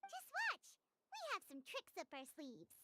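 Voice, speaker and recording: A woman voicing a tiny, excitable childlike fairy companion in a video game, with a squeaky voice. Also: unhurried, heavy background noise, exaggerated voice. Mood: boastful. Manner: excitable, refers to self in third person. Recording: music playing behind the voice.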